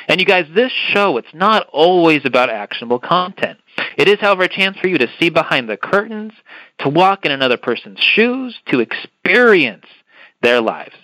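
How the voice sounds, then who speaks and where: A man's voice: on the high side, big pitch swings, normal speed, pushed and bright, no room sound, rough recording. A man hosting a podcast interview, enthusiastic and given to repeating words as he asks his questions. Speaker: podcast host.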